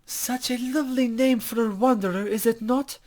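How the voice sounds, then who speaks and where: A man's voice: very high voice, steady tone, moderate pace, darkish tone, voice pushed up. A man voicing a mysterious, courteous lady guide in a visual novel game, with soothing, formal, flowery speech. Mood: gentle, gracious.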